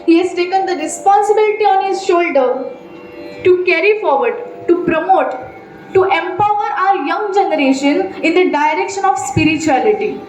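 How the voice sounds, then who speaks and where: A young Indian-accented woman delivers a devotional discourse, her voice extremely high. She declaims like a practised storyteller, repeating phrases for weight. She is heard from a distance in a very reverberant hall, with music clearly present behind her. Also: normal speed, a little mellow. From Maharashtra, India. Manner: declamatory, rhetorical repetition. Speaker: devotional storyteller, student preacher. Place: echoey hall at a training camp.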